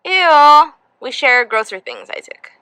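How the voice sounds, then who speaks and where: Bright, lively young woman, dry close sound. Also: high-pitched, takes it slowly, no echo. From Ukraine. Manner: chatty, prompting questions. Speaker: co-host. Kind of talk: casual chat off camera.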